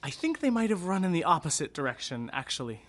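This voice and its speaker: An actor playing a polite, nervous theatre costumer who speaks hesitantly and deferentially, with dry candour, in an audio drama. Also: male voice, fairly high pitch, big pitch swings, fast, fairly bright tone. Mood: nervous politeness.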